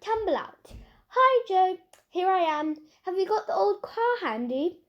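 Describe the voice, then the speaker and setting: A woman voicing a mild, confident schoolboy with a brisk, matter-of-fact manner in a storybook. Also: very high-pitched, big pitch swings, lo-fi sound, pitched above the performer's own voice, exaggerated voice. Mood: excited greeting.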